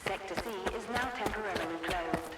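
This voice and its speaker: A woman playing an impersonal, polite facility announcement system in a video game, measured and official. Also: medium pitch, very noisy, close to an everyday voice. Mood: calm, official. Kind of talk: formal public-address announcement.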